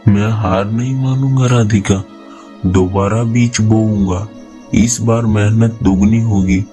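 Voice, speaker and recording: A man's voice: lowish voice, steady tone, slow, dark tone, faint music behind. A man voicing an earnest, hard-pressed young farmer in a cartoon moral story. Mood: determined.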